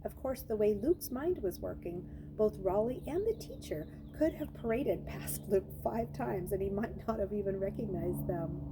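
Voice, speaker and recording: A woman's voice: mid-range voice, animated, moderate pace, hardly any pauses, background noise. A woman reading a children's novel aloud, narrating expressively and dramatizing the story.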